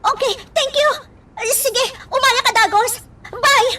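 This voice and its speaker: A woman acting in an amateur radio drama, talking in quick exclamations. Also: very high voice, heavy background noise.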